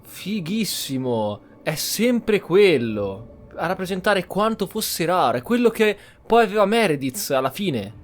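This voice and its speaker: A man's voice: high-pitched, big pitch swings, normal speed. A man hosting a gaming channel, giving casual commentary as he plays.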